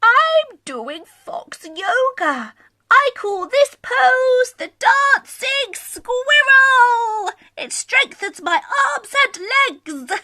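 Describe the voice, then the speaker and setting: Storybook young fox, played by a woman: earnest, proud, extremely high-pitched, slow and drawn-out. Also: sing-song, bright, forceful tone, no room sound, full character voice. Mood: proud, excited. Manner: exclaiming, drawn-out proclamations. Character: timid young male fox doing yoga, proud and earnest.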